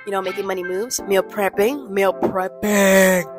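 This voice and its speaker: A woman's voice: mid-range voice, steady tone, a little slow, over music. Chatty slangy young woman vlogging. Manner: chatty, slangy, talks straight to camera. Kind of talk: casual vlog chat. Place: grocery store, then home kitchen. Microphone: handheld phone camera at arm's length.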